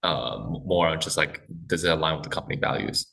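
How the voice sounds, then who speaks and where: A man speaking as a podcast guest, talking haltingly with many restarts and fillers. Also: very deep voice, vocal fry, bright, forceful tone, far from the mic. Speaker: guest, corporate development head. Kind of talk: casual podcast interview.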